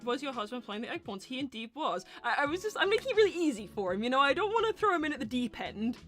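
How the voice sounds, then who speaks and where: A woman with a fairly high voice hosts a casual livestream Q&A. She is chatty and candid, explaining things in a rambling way. Music plays faintly behind her. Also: very animated, fast, fairly bright tone. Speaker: host and storyteller of a live roleplay stream.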